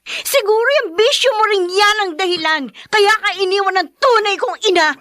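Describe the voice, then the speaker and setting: A woman's voice: very high voice, moderate pace, sharp tone, no echo, clean studio sound. An actress playing an anguished, bitter young adult daughter of an alcoholic father in an emotional Filipino radio drama. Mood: exasperated. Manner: emotional, pleading outbursts.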